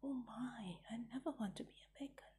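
A woman's voice: on the high side, moderate pace, dark tone, slight background noise, natural voice. A woman whispering the part of a polite, curious young male fox cub in a bedtime story.

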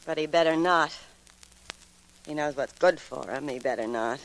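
A woman playing a headstrong, sharp-tongued young woman in a theatrical 1940s radio drama style. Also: deep voice, moderate pace, low-quality recording. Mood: irritated. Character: defiant, headstrong young woman juggling two suitors.

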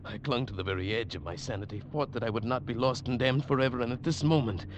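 A man's voice: medium pitch, fast, a little hiss or hum, natural voice. An actor playing a haunted, tormented man telling of his ordeal with witchcraft, fervent and theatrical in 1940s radio style. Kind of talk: 1940s radio drama acting, dramatic first-person narration. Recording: old radio broadcast with loud musical backing. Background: dramatic music.

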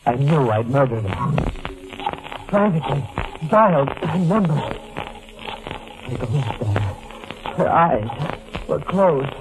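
An actor playing a nervous, desperate murderer husband, agitated and haunted, in 1940s radio melodrama style. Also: male voice, mid-range voice, animated, slow, pauses often, over music, rough recording. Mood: haunted dread. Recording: old 1940s radio recording.

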